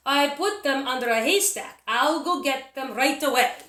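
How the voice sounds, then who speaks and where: Woman playing eager, high-voiced Italian boy. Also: moderate pace, fairly bright tone, echoey room. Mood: pleased. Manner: eager, simple. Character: big good-natured Italian boy, cheerful, obedient and dim.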